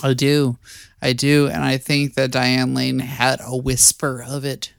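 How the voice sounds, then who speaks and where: A man's voice: mid-range voice, completely flat delivery, unhurried, creaky, fairly bright tone, clean tone, dry recording, clean studio sound. A young man co-hosting a podcast, playful and teasing in casual chat. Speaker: podcast co-host.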